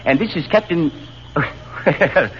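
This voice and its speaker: An actor playing a good-natured, blunt lieutenant who talks in hearty old slang, in a 1940s radio drama. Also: male voice, a little high, expressive, takes it slowly, fairly bright tone. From Australia. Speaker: Australian cavalry lieutenant. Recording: dull old radio broadcast recording with faint hiss.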